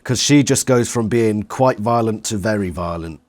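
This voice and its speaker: A man's voice: lowish voice, normal speed, a little mellow. A man talking casually as an interviewer and reviewer in a fan discussion.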